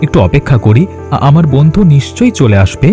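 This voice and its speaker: Lively, honest, timid cartoon husband, a man voicing him. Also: medium pitch, a little fast, natural voice. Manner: anxious, scolding. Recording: voice over music.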